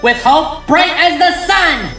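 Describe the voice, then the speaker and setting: A heroic young female pony voiced by a fan reader, high and bright, swinging widely in pitch. She speaks with earnest, emphatic hope in an audio drama over music. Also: expressive, a little slow, exaggerated voice. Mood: fierce, determined.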